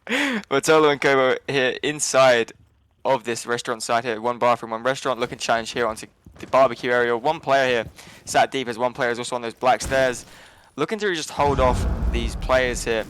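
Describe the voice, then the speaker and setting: A man from England calling live esports play-by-play in quick, steady runs, relaxed and chatty between rounds. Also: mid-range voice, clear, resonant tone. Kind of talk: live game commentary.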